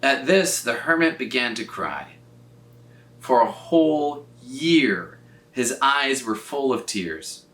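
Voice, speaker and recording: A man reading a children's story aloud in an expressive, scripted style, with dramatic phrasing and drawn-out words. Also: mid-range voice. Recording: roomy echo, distant from mic.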